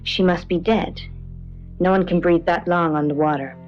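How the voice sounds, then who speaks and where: An actress playing an earnest female late-night radio co-host and dream interpreter, speaking in a measured, tentative way. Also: on the low side, steady tone, highs cut off, lightly acted. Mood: earnest, calm. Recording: band-limited radio sound over music.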